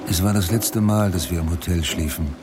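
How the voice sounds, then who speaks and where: An actor playing a grieving widowed father of about forty in a radio drama monologue, speaking in terse, measured, brooding phrases. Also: low voice, natural voice. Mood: somber, reflective. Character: grieving widowed father looking back on a fresh start. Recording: music laid loudly under the voice. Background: music.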